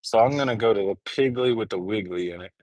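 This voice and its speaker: A man's voice: fairly low pitch, fast talker, darkish tone, no room sound. A young man from Alabama with a Southern accent, rambling casually to his viewers during a live stream. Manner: rambling, chatty, addresses viewers as gang. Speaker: livestreamer. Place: on the road and in a store.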